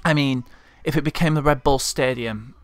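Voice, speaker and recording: A man's voice: mid-range voice, moderate pace, no echo. Frustrated man with a Leeds accent. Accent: Leeds Yorkshire. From Leeds, England. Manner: frustrated and heartfelt, pleading. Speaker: football fan vlogger. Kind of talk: impassioned monologue rant.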